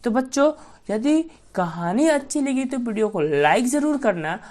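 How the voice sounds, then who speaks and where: A woman's voice: a little high, animated, normal speed, a little mellow. A woman storyteller narrating a bedtime folk tale for children.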